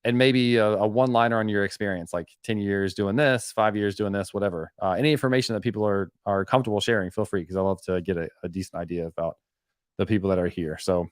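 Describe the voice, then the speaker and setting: A man with a fairly low voice hosts a live Q&A stream and reads questions from the chat. He talks loosely and conversationally, often restarting sentences and filling gaps with "um" and "like." The sound is dry and close to the mic. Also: fairly even intonation, fast, dry recording. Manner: conversational, many fillers and self-corrections. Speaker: host of a live web development Q&A stream.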